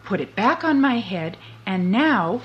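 A woman voicing a clever, cheeky young farm boy who talks brightly and slyly. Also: mid-range voice, very animated, a little slow, dull audio.

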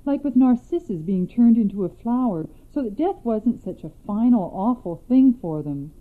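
An actress playing a young princess who calmly narrates old myths in measured tones. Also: female voice, a little high. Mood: gentle. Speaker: storyteller. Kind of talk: scripted storytelling in a radio drama. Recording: tinny phone-like recording.

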